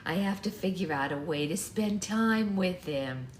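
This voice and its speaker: A woman voicing a kindly, inquisitive little old grandmother in a story reading, with slow, gentle delivery. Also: fairly low pitch, expressive. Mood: friendly, offering. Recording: roomy echo, faint background noise.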